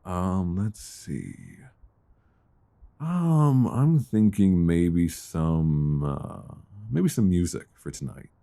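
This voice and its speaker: A man playing an affectionate, bookish boyfriend in an intimate ASMR roleplay, speaking softly, tenderly and soothingly close to a binaural microphone. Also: low voice, sing-song, vocal fry, full character voice. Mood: cozy, sleepy. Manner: soft, tender, unhurried, soothing.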